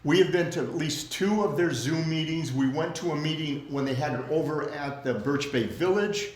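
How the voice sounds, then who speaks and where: A man with a loud voice, plain-spoken, chairing a community club meeting. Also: a little high, moderate pace, reverberant, poor audio.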